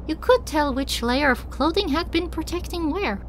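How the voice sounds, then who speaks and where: A woman reading scripted first-person fiction as an audiobook narrator, in an expressive, dramatic way. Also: sits high, moderate pace, a little hiss or hum.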